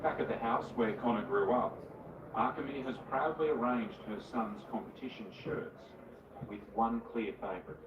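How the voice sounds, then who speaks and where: A man's voice: mid-range voice, moderate pace, far from the mic. A man reading scripted documentary narration as a reporter. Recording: roomy, muffled, noisy playback.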